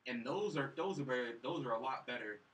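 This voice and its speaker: A man's voice: mid-range voice, expressive, a little fast, a bit bright, distant mic. A man chatting casually about films as a podcast co-host, blunt and emphatic, repeating himself and leaning heavily on "like". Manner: blunt, emphatic, repetitive, heavy use of "like". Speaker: film review podcast co-host. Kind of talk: casual film review chat. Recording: off-mic with faint background noise.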